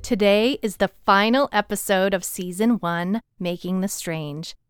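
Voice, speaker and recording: A woman's voice: mid-range voice, a little slow, faint music behind. A woman co-hosting a podcast, moving between scripted lines and conversational talk, recorded close in a dry room. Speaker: podcast co-host, writer. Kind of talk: co-hosted podcast chat, partly scripted.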